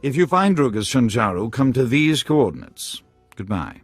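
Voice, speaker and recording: A man's voice: mid-range voice, big pitch swings, normal speed, dry recording. An actor playing a formal, courtly alien man who speaks for a crime boss in florid phrases in a video game. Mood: grave, measured. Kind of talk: video game character acting. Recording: music under the voice.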